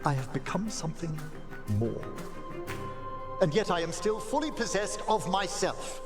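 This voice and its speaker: An actor playing an earnest older necromancer turned lich in a video game, speaking formally and with eloquence. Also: male voice, sits high, lively intonation, a little slow. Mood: exasperated, proud. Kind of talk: video game cutscene acting. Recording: game music over the voice.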